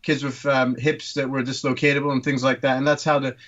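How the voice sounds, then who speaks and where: A man's voice: medium pitch, flat delivery, brisk, fairly bright tone, highs cut off. A man in his thirties from Turkey, guest on a casual podcast, explaining things with many ums and uhs. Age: 30s. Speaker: guest orthopaedic surgeon.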